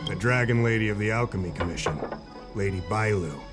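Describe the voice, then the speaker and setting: A man playing an earnest, courteous town official in a game, speaking in a measured manner. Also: on the low side, over music, lightly acted. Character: polite, earnest male townsman official. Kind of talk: English game voice acting.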